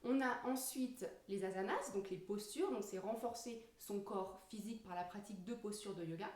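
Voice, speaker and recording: A woman's voice: mid-range voice, normal speed, darkish tone. A woman giving an informal explanatory yoga lesson, searching for her words as she goes. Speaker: yoga teacher. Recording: roomy echo, distant microphone.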